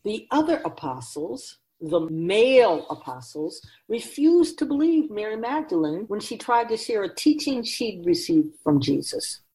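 A woman playing a wise, teasing, ageless female spirit guide in a radio drama, speaking in a measured, knowing way. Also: medium pitch, sing-song, dark tone, rough recording. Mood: earnest, instructive. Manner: measured, knowing, rhetorical questioning.

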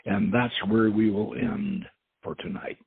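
A man's voice: on the low side, measured pace, creaky, husky. A man hosting and reading aloud from an adventure story, stumbling and repeating words now and then. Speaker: host and author reading his novel. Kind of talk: dramatic reading of an adventure novel. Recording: muffled phone-like lo-fi audio.

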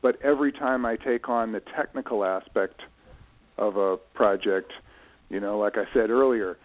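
A middle-aged or older man answering interview questions conversationally, reflective and halting, leaning on key words. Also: fairly low pitch. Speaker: interview guest, author and producer. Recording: narrow tinny phone line.

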